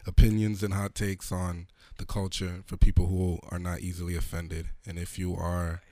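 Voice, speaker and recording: A man hosting a podcast, hoarse, talking in a laid-back, slangy, profane way. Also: sits low, little pitch movement, moderate pace, warm tone, no echo. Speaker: podcast host. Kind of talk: casual podcast chat.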